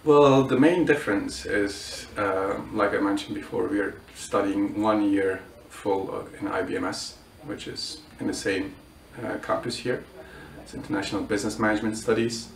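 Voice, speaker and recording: A man's voice: lowish voice, fairly even intonation, moderate pace, vocal fry. A student in his twenties from Bulgaria, answering interview questions with many hesitations and restarts. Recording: roomy echo, mic at a distance.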